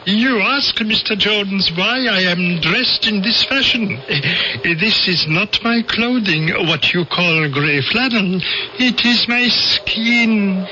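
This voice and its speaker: A polite alien for old radio drama, very bright sharp voice, formal n precise, kinda muffled recording. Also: male voice, high voice, animated, slight background noise, full character voice. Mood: politely reproachful. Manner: formal, measured, precise diction. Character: calm one-eyed, mouthless alien visitor, courteous and superior.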